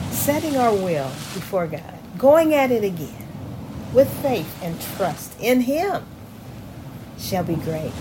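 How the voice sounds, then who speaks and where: A woman preacher delivering a sermon, stretching her words for emphasis. Her pitch rises and falls widely while she keeps a slow pace. Clear background noise sits under the recording. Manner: dramatic, drawn-out emphatic phrasing. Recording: noisy recording with background ambience.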